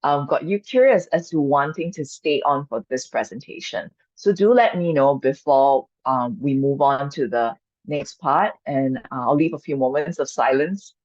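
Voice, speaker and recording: A woman from Singapore, a Chinese speaker, gently guiding an online mindfulness and qigong workshop as its coach. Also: very deep voice, lively intonation, normal speed, no room sound. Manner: gentle, inviting, reassuring. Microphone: video call. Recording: dull dry video call audio.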